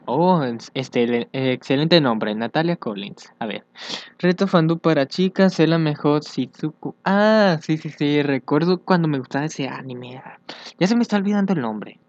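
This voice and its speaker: A young man chatting casually as he reads social media aloud for a vlog, hesitant and thinking out loud. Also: a little high, no room sound. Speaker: fandub YouTuber. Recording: dull, lo-fi home microphone.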